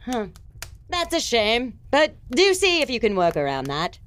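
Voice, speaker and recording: Slow, dramatic villainous empress. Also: female voice, on the high side, huge pitch swings, fairly bright tone, exaggerated voice. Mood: haughty. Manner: haughty, theatrical, mercurial. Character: imperious, exasperated villainous empress commanding werewolf minions. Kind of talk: comedic fantasy audio drama acting.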